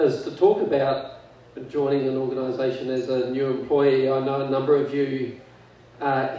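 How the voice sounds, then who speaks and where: A man speaking on stage as company chairman, measured and earnest with dry humour. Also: medium pitch, warm tone. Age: 50s. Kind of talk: impromptu speech. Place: large event hall on stage. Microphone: handheld mic. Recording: echoey hall, distant mic, dull sound.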